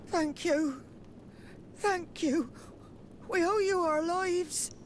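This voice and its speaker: A slow, grateful freed captive. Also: female voice, very high voice, slight background noise, heavily acted. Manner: slow, heartfelt.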